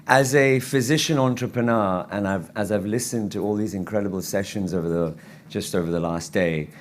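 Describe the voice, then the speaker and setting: Croaky-voiced man giving a conference lecture. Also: on the low side, darkish tone, a little hiss or hum. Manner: earnest, with frequent restarts and fillers. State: croaky voice from travel. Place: conference hall.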